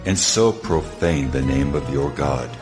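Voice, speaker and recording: A solemn God in an audio scripture drama, with a very low, commanding voice. He speaks deliberately, in declarations, inside a long, ringing reverb. Music sits underneath. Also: lively intonation. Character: God, solemn commanding male deity giving laws.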